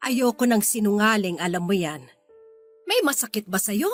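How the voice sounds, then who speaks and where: A woman playing a kindly, firm older mother figure in a Filipino radio drama, gently probing and protective. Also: medium pitch, lively intonation, moderate pace, soft background music, natural voice. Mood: concerned, insistent.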